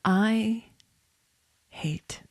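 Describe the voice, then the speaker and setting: A woman's voice: medium pitch, extremely slow, darkish tone, no echo, clean studio sound. An American woman co-hosting a podcast, chatting casually and telling stories in a wry, mock-serious way. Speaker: podcast co-host. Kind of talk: casual chat and storytelling.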